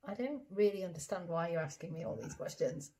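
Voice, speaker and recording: A woman's voice: on the low side, lively intonation, brisk, warm tone. A woman playing a dinner guest in a comedy sketch, answering in a patient, matter-of-fact way. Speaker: puppeteer acting a dinner guest.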